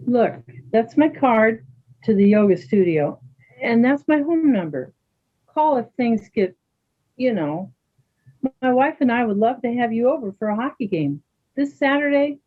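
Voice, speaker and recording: Phone-like audio, actress as calm sporty Canadian woman, kind, readers theater dialogue. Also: a little high, measured pace. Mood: caring. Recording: thin phone-like sound.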